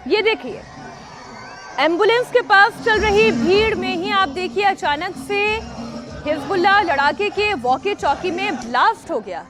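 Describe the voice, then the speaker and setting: High-pitched, urgent woman news anchor. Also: animated, a little slow, music in the background, a crowd in the background. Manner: emphatic, urgent delivery with rhetorical questions. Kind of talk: dramatic news narration.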